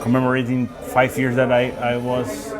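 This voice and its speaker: A man's voice: medium pitch, monotone, moderate pace, over music. A man from Brazil with a Brazilian Portuguese accent, a native Portuguese speaker, describing his watch in simple, careful phrases. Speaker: guest collector. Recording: voices over a chatty crowd.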